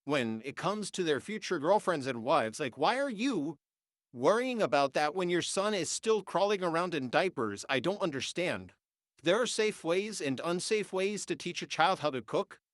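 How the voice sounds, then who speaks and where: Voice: medium pitch, sing-song, moderate pace, no echo, clean studio sound. A nineteen-year-old vlogger, congested and sniffling, ranting heatedly and swearing through an AI voice filter. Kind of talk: unscripted rant.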